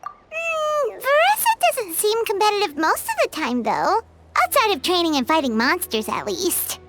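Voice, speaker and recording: A woman voicing a small, childlike, excitable and cheeky fairy companion in a video game, with a squeaky voice. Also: unhurried, very noisy, full character voice. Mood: curious. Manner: excitable, refers to self in third person. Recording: game music behind the voice.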